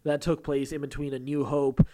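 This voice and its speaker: Higher-voiced man chatting casually. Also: little pitch movement, moderate pace, warm tone. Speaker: co-host of a comics news podcast. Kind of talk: casual chat with read-out news items.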